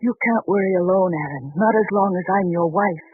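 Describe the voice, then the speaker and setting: An actress playing a patient, earnest wife in a 1940s radio drama, speaking with gentle reproach. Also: female voice, mid-range voice, fairly quick, breathy edge, lightly acted. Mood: calm, knowing. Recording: thin, muffled 1940s radio recording.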